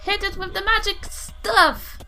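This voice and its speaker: A woman's voice: very high voice, fairly even intonation, a little slow, bright, forceful tone, soft background music. A woman chatting casually and a little hesitantly as a player in a tabletop game, given to giggling. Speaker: player on a tabletop role-playing podcast.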